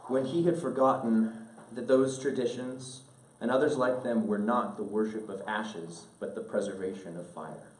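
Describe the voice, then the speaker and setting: A man reads a literary letter aloud in a measured, earnest, oratorical way, pausing deliberately, recorded at a distance in an echoing room. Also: on the low side, steady tone, a little mellow. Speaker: speaker reading a letter aloud at an event. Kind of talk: solemn literary letter read aloud to an audience. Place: indoor event room.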